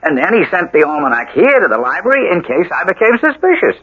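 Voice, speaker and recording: Worked up husband in old radio play, high voice swinging all over, stammers, insistent. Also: male voice, very animated, fast, slightly rough, full character voice. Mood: suspicious. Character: agitated suspicious small-town husband, obsessed with a prankster. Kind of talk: old-time radio drama acting. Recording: muffled old radio recording, music behind.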